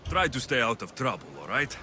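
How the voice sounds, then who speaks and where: A man's voice: medium pitch, very animated, a little fast, bright, forceful tone, a little hiss or hum. A man playing a stoic, determined Greek mercenary in his thirties, terse and brooding, in a video game. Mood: resolute. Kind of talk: dramatic video game acting. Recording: music behind.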